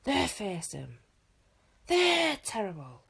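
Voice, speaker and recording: A woman voicing a loud, gruff male Viking teacher who scolds his pupils. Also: mid-range voice, huge pitch swings, takes it slowly, voice pushed up, full character voice. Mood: booming. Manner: scolding, shouting.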